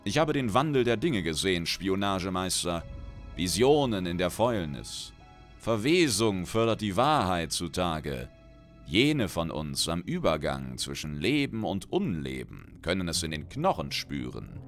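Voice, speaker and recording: A man's voice: low voice, very animated, unhurried, faint music behind, full character voice. Man voicing a sinister undead necromancer woman, hollow. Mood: ominous prophecy. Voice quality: hollow-sounding. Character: sinister undead female necromancer, menacing and grandiose.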